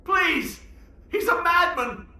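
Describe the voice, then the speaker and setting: A man's voice: extremely high pitch, expressive, slow pace, sharp tone, exaggerated voice. A man voicing a terrified, pleading scientist in a video game, panicked in manner. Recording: echoey room, faint noise.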